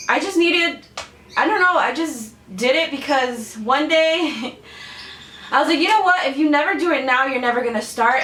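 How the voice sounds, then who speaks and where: A woman's voice: sits high, bright, forceful tone. A woman in her twenties chatting casually to camera in a beauty Q&A, rambling with lots of "like"s and little laughs. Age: 20s. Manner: chatty, rambling with many "like"s, giggly. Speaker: beauty vlogger. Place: bedroom filming space. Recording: roomy, from a distance.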